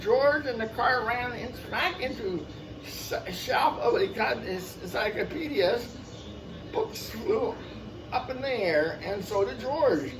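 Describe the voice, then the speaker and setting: Guy reading a picture book to kids, highish lively voice, little asides, far from mic w noise. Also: takes it slowly. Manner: expressive, repeats phrases for young listeners. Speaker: storyteller. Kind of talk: children's picture-book read-aloud with casual asides. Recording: noisy, picked up from a distance.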